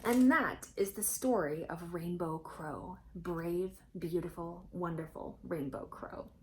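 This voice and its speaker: A woman reading a storybook aloud to children, expressive and phrase by phrase, with faint background noise. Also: on the low side, takes it slowly, poor audio. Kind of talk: children's storybook read-aloud with chatty asides.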